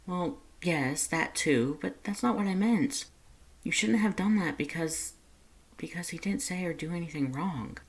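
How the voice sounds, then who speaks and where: A reader voicing an anguished, self-hating but gentle male skeleton monster, strained and halting, in a fan fiction story. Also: medium pitch, pitched above the performer's own voice, natural voice. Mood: agitated. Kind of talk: fan fiction read-aloud character voice.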